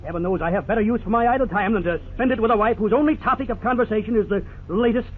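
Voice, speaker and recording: A man's voice: high-pitched, racing, lightly acted. An actor playing a harsh, snobbish, domineering husband and father in a 1950s radio drama. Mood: scornful, angry. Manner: domineering, scornful, clipped orders. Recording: scratchy, tinny 1950s radio recording.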